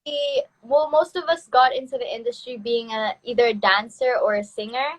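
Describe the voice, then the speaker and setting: Young woman from Canada hosting a casual phone live stream, high and chatty, laughs as she talks. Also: steady tone, normal speed. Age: young adult. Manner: chatty, laughs between words. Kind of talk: casual chat. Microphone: phone on a video live stream.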